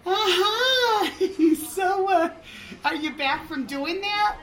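A woman playing a brash, chatty café waitress in a comedic audio drama, broad and animated in manner. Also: very high-pitched, takes it slowly, heavily acted. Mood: chirpy. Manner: broad, animated, swears bluntly. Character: brash, chatty pregnant café waitress, foul-mouthed with her husband.

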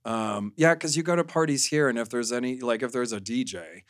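A man speaking as a podcast guest, telling candid stories in a casual, halting way with lots of "like". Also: mid-range voice.

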